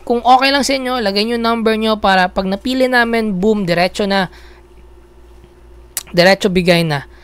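A Filipino woman talks through an explainer video in a smooth, clear voice. Her pitch sits in the middle range. She keeps it casual, checking in with the listener and restating her points for emphasis. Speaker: video host. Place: small indoor room at a computer.

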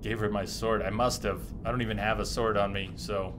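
A man's voice: on the low side, level tone, brisk, noisy background. A man in his thirties giving casual live commentary as he streams a video game. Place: indoor streaming setup. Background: game music.

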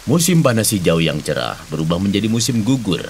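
A man's voice: fairly low pitch, big pitch swings, brisk, a little hiss or hum. A man narrating a scripted children's story as a storyteller. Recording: loud music behind the voice.

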